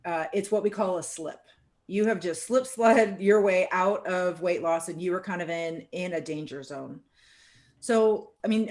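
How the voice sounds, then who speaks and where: A woman hosting a casual group chat, upbeat and encouraging, often ending thoughts with "right?". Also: medium pitch. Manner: upbeat, encouraging, chatty with many "right?" tags. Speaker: host.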